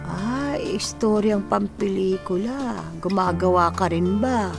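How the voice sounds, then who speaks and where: An actress playing a humble, curious older nanny in a Filipino radio drama, halting and folksy as she gropes for words. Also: mid-range voice, vocal fry, over music. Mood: admiring, curious. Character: humble, curious older nanny woman, simple and warm-hearted.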